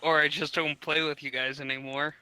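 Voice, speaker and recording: A man discussing casually as a podcast guest, measured and easing into his points. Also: on the high side, flat delivery, pushed and bright, low-quality recording.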